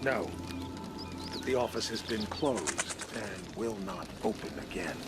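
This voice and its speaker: An actor playing a composed intelligence chief in a video game, speaking in a measured, knowing way. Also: male voice, on the low side, expressive, loud music behind the voice. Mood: smug, measured. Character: composed male intelligence chief recounting a killing. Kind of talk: video game cutscene acting.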